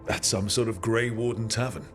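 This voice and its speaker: An actor playing a steady, encouraging hero with dry wit in a fantasy video game, earnest and gently teasing. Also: male voice, lowish voice, moderate pace, faint music behind, lightly acted. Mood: dry, curious. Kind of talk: dramatic video game dialogue.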